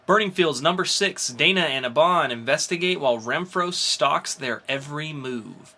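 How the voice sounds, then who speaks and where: A man's voice: fairly high pitch, expressive, moderate pace, bright, forceful tone. A man co-hosting a podcast, chatting casually and enthusiastically about comics in an informal way. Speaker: podcast co-host. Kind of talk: casual comic book review chat. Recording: faint background noise.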